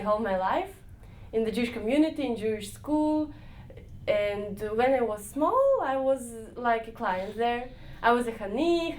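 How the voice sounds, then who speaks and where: A young woman answering interview questions, searching for words with frequent pauses and restarts. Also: mid-range voice, slight background noise. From Israel. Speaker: interviewee, volunteer dance leader.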